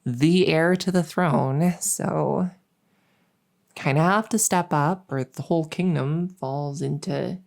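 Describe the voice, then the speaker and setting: A woman's voice: low voice, gravelly, darkish tone, heavily acted. A woman playing a world-weary young princess in an intimate audio roleplay, speaking hesitantly and confidingly, sometimes close to a whisper. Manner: hesitant, halting, confiding, with trailing pauses.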